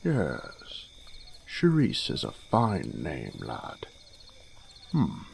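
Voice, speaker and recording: A man playing an older fatherly lord in a fantasy audio drama, genial and courtly in a measured way. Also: a bass voice, huge pitch swings, vocal fry, slight background noise, heavily acted. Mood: hearty, welcoming.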